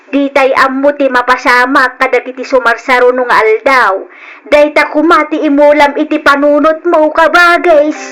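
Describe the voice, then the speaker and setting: Kindly older village woman, high pitch, Ilocano accent, earnest, gently chiding, old radio drama.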